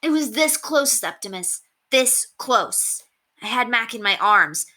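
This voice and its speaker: Woman playing an earnest storybook boy, hesitant, polite, halting casual phrasing, sharp bright tone. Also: a little high, animated, pitched above the performer's own voice. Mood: earnest, conflicted.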